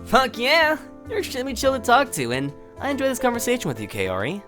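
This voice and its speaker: A woman's voice: a little high, huge pitch swings, fast, fairly bright tone, soft background music. A woman playing a laid-back, friendly young streamer with a casual, slangy delivery in a fan audio drama. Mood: warm, upbeat.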